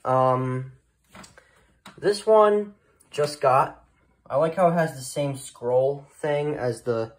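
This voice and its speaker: Man, hobbyist presenter, mid-pitched, off-the-cuff and halting, recorded in a room at home. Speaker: hobbyist presenter of a weather radio collection. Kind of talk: casual unscripted show-and-tell narration.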